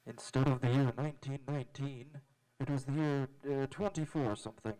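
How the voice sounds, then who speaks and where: A man acting as a young space adventurer from a vintage radio serial. His voice has a middling pitch. He speaks politely and sincerely, in measured phrases, like an earnest hero. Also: steady tone, dark tone, close to an everyday voice, poor audio. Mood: dazed, recounting. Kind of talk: old-time radio drama acting. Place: live on an auditorium stage.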